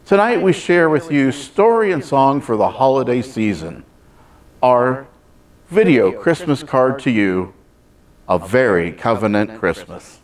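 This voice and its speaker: Animated male holiday program host. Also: medium pitch, a little slow. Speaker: host of a church Christmas program. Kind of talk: scripted program introduction.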